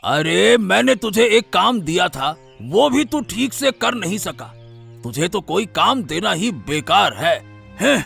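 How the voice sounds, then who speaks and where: An irritable senior villager played by a man in a cartoon story, always scolding. The voice is high and gravelly, with big swings in pitch. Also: sing-song, a little slow, fairly bright tone, soft background music, full character voice.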